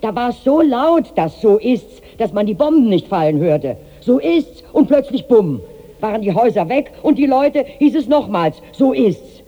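A woman's voice: fairly high pitch, sing-song, normal speed. An actress playing a chattering, plain-spoken servant woman, earnest and insistent, in 1960s radio drama style. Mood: earnest, agitated. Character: fussing, plain-spoken female servant to a rich master. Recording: dull reverberant 1960s radio recording.

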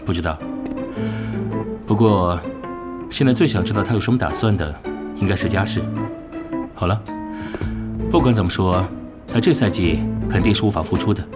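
A man playing a calm, measured young team captain, composed, in a Mandarin audio drama. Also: sits low, over loud music, lightly acted. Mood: calm, thoughtful.

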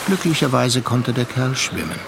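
An actor narrating a literary radio play as a seasoned seafarer, reflective and measured. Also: male voice, mid-range voice, big pitch swings, gravelly, very noisy. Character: seasoned male seafarer recounting a troubled younger friend's story. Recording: studio radio play with music underneath. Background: music.

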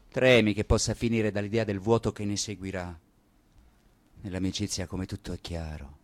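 An actor playing a yearning, sensual man confiding in his male friend, in a lyrical, intense radio play manner. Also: fairly low pitch. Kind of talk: theatrical radio play acting.

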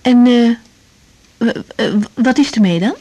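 A woman's voice: a little high, unhurried, warm tone. A woman acting in a radio drama as a cautious young doctor, polite and probing, with hesitant speech. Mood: hesitant, professional. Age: young adult. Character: cautious young female doctor questioning a patient.

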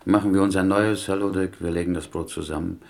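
Gravelly, low, gentle fellow prisoner. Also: male voice, fairly even intonation, fast talker. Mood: consoling. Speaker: actor in a radio drama. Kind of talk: German radio play acting.

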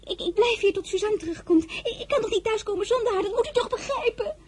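A woman playing a naive, excitable teenage merchant's daughter in a radio drama, stammering and repeating her words. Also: very high-pitched, fast. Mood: flustered.

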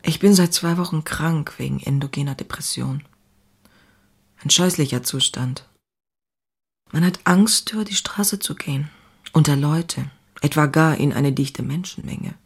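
A woman's voice: low voice, unhurried, gravelly, pauses often, breathy edge, exaggerated voice. An actress playing an outspoken, passionate woman writer who confides in her diary in an intimate, wry way. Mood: weary, anxious. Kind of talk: dramatized diary reading, scripted narration.

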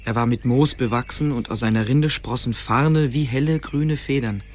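A man's voice: medium pitch, moderate pace, a little hiss or hum, natural voice. A man narrating a radio play in a scripted storytelling style.

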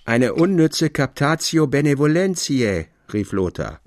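A man narrating a literary radio drama in a measured, formal storytelling manner. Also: a little high, big pitch swings, a little mellow.

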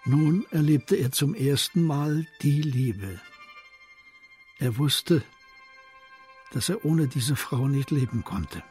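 A man narrating a historical crime radio drama in a measured, literary storytelling style. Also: medium pitch, a little mellow, faint music behind, natural voice. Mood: measured, solemn.

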